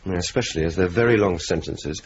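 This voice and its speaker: An actor playing a wry, laconic, bookish young RAF officer in a radio play, speaking in a dry, understated, deliberate way. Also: male voice, sits low. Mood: dryly amused. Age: young adult. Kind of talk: naturalistic 1980s radio drama acting. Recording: muffled, hissy old radio broadcast tape.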